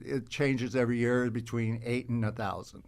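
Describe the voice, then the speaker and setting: Halting, rambling man telling anecdotes. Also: mid-range voice, fairly even intonation, darkish tone. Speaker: podcast guest, actor and car show organizer. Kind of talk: casual chat, telling anecdotes.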